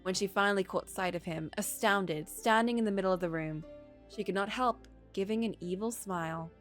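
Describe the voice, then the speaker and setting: A woman streamer reading a story aloud with expressive narration. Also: medium pitch, brisk, faint music behind.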